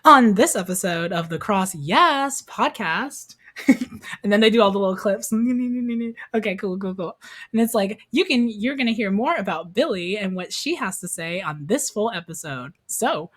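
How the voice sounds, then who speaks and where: A young woman with a high voice, a guest in a casual podcast chat who laughs often as she tells stories. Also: moderate pace. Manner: giggly, laughs often, animated storytelling.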